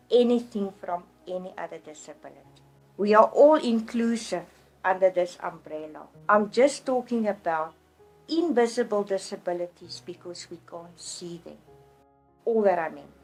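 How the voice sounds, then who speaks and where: A woman's voice: medium pitch, a little mellow, poor audio. A middle-aged woman from South Africa with an Afrikaans accent, telling her own story in an interview in an earnest, plainspoken way, often restarting words. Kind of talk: personal testimony in an interview.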